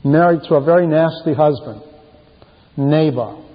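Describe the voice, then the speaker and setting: A man's muffled voice rings through a long hall reverb. He preaches at an unhurried pace, breaking his thoughts into short, emphatic phrases. The delivery is that of a homily told as a story. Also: a little high. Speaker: preacher reading a translated homily. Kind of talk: sermon, retelling Bible stories.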